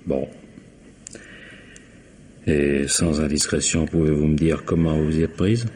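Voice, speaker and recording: An actor playing a sardonic, dogged police inspector in a French radio mystery, prodding with irony and drawn-out interjections. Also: male voice, very deep voice, level tone, darkish tone, slight background noise. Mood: soft, insistent. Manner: ironic, prodding, drawling interjections. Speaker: actor in a radio crime drama.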